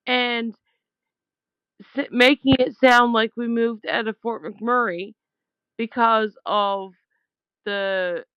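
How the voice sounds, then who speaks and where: A woman's voice: fairly high pitch, fairly even intonation, slow pace, pauses often, smooth, no room sound. A woman leaving an informal complaint voicemail over the phone, speaking in a blunt, aggrieved and emphatic way, with dull lo-fi sound. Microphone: phone call.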